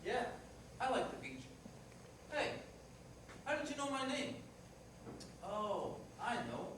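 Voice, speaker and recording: Man playing a high-voiced skeptical cabbie, very echoey. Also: big pitch swings, slow, heavily acted. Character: skeptical, easygoing male cab driver. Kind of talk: live staged play reading. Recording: noisy, very echoey hall, distant mic.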